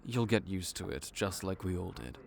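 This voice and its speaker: A man playing a wry, low-voiced vampire clerk. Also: lively intonation, moderate pace, vocal fry. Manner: matter-of-fact, wry, bureaucratic. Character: male vampire intake officer, dry, patient. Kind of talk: audio roleplay.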